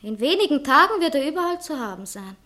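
An actress playing a passionate, self-dramatizing young bride-to-be in a satirical radio play. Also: female voice, high-pitched, big pitch swings, quick pace, bright, forceful tone, heavily acted. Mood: earnest, pleading. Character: passionate young bride-to-be who writes novels. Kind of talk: theatrical literary satire dialogue.

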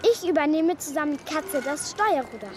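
High-voiced, plucky pirate girl. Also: lively intonation, a little fast, background noise. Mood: excited. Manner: exclamatory, bold. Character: plucky, cheeky young girl, would-be pirate. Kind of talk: children's radio play acting. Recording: echoey scene with music behind.

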